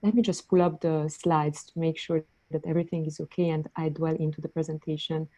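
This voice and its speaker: A woman's voice: deep voice, little pitch movement, a little fast, warm tone. A woman researcher from Hungary presenting her paper at an online conference, speaking composedly and fluently. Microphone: computer mic on a video call.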